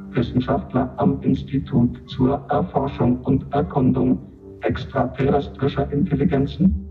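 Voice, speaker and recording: An actor playing an impersonal official who reads out formal reports through a radio-like filter in a radio drama. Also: male voice, medium pitch. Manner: formal, clipped, matter-of-fact announcements. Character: impersonal official voice reading out reports and roll call. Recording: tinny narrow band, distant, music behind.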